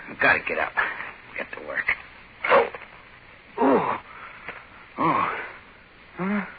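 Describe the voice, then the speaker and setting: A man playing an excitable, irritable, hard-drinking office worker in a 1940s radio drama, agitated and given to muttering. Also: a little high, full character voice. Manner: agitated, hesitant, muttering to himself. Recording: noisy, muffled 1940s radio broadcast.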